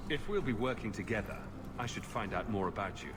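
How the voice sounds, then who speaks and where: An actor playing a cheeky, joking adventurer hero in a fantasy video game. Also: male voice, lowish voice, expressive, fairly quick, lightly acted. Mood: curious. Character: wisecracking, flirtatious male adventurer hero. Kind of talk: video game dialogue acting. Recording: game audio with music underneath. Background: music.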